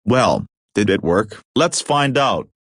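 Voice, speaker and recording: A man voices a well-meaning, bumbling dog hero for a homemade cartoon. The voice is fairly low and sounds earnest and reassuring, recorded dry with no room sound. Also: big pitch swings, slow pace, no echo, exaggerated voice. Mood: puzzled. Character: helpful, slightly bumbling cartoon dog superhero.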